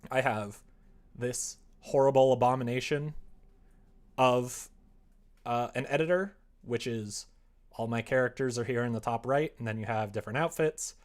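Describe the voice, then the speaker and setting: A man chatting casually on a livestream as he works, rambling and self-deprecating, often muttering to himself, with a gravelly, creaky voice. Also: mid-range voice, normal speed. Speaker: live streamer, game developer. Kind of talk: casual livestream chat while working on screen.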